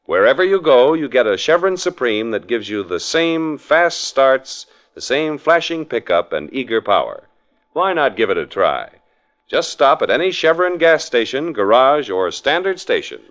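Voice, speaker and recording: A male announcer in 1940s radio style, reading scripted narration and sponsor copy. His medium-pitched voice moves in lively swings. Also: normal speed.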